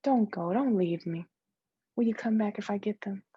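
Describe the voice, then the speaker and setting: A woman's voice: medium pitch, moderate pace, husky, dull audio. A woman voicing an anxious young girl who pleads and keeps asking insistent questions in a dramatic literary read-aloud. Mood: pleading, fretful. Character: young girl, anxious, pleading, obsessed with having blue eyes.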